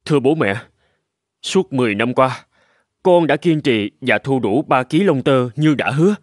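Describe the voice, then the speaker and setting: An actor playing an eager, gullible husband in a cartoon, speaking in an earnest, exclamatory way. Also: male voice, medium pitch, lively intonation, moderate pace, no room sound, clean studio sound, lightly acted. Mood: earnest, astonished. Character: eager, gullible husband dreaming of gold, later hardworking. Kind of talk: cartoon voice acting.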